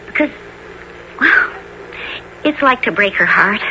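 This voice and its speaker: An actress playing an anxious, loyal young circus performer with fretful, folksy talk in a 1970s radio drama. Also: female voice, medium pitch, animated, takes it slowly. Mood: hushed, uneasy. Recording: muffled, noisy radio broadcast.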